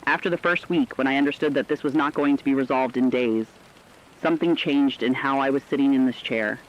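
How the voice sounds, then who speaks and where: A man playing a steadfast, weary radio anchor who speaks deliberately and reflectively in a scripted apocalyptic radio drama. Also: mid-range voice, dull audio, lightly acted. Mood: sombre, steadfast. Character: steadfast, weary radio anchor reporting through societal collapse.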